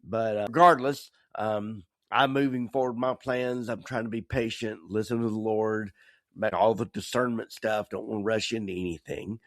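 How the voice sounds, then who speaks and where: A middle-aged American man talking casually as a podcast host, halting and meandering, a bit croupy from a cold. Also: on the low side, fairly even intonation, a bit bright, no room sound. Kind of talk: casual personal podcast monologue.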